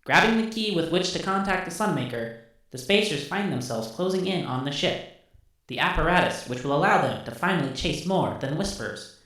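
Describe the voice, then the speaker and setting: A man plays a sci-fi computer reciting a recap in a formal, measured way. The voice is on the high side and sounds distant, drenched in echo. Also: animated, fairly bright tone. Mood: formal, detached. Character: neural link computer reciting stored memories, formal and detached. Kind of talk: scripted recap narration. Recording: heavily echoing, distant-sounding.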